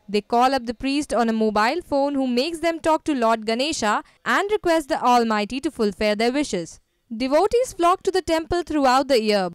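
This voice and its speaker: A woman's voice: on the high side, clean tone, dry recording. A woman with an Indian accent reading scripted narration for a news feature as a reporter.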